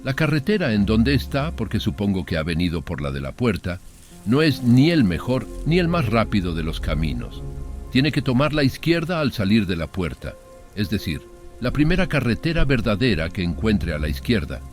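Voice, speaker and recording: Man as frail elderly gentleman, lowish voice, very varied intonation, cultivated, apologetic, horror audiobook, faint music behind. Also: big pitch swings, moderate pace. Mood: helpful, explanatory. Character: frail, tall old Southern gentleman, courteous recluse.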